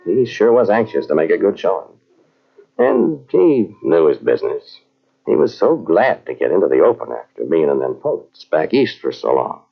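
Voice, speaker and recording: Folksy American cavalry sergeant, very animated. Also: male voice, deep voice, gravelly, husky, faint music behind, exaggerated voice. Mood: nostalgic, reminiscing. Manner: folksy, halting, deferential. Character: deferential, earnest old-time American cavalry sergeant. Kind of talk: old-time radio drama acting and narration. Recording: muffled old radio drama from cassette tape.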